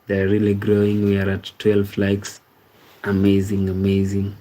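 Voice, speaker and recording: A Kenyan-accented man hosts a relaxed live stream, greeting viewers and reading their comments back to them. His voice sits low and mellow, with a level, unhurried intonation that rarely rises or falls. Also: low-quality recording. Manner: reads viewer comments aloud and thanks them by name; easygoing, repeats words like "amazing, amazing". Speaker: livestream host. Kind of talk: casual live-stream chat.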